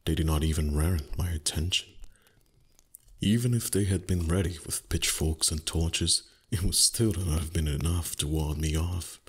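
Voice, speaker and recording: A man voicing a proud, greedy, centuries-old dragon in an ASMR roleplay, speaking in a husky, breathy voice with slow, deliberate, haughty delivery that savours the words. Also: deep voice, fairly bright tone, full character voice. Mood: disdainful, proud.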